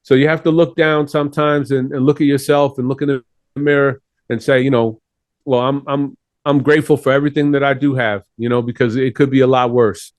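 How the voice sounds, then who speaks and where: A man's voice: medium pitch, fairly even intonation, fairly quick, dry recording. Earnest rambling man, dry sound. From Chile. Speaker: guest. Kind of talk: casual interview talk.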